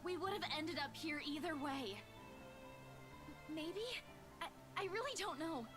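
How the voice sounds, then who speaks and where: A woman's voice: high-pitched, lively intonation, unhurried, over music. An actress playing an earnest, guilt-ridden young woman, speaking urgently in dramatic visual novel style. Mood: apologetic, pleading.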